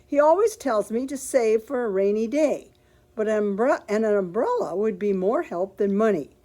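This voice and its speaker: A woman's voice: on the high side, lively intonation, moderate pace, pitched above the performer's own voice, close to an everyday voice. A woman reading a picture book aloud and voicing a cheerful, literal-minded housekeeper in an earnest, emphatic way. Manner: bright, earnest, emphatic read-aloud delivery.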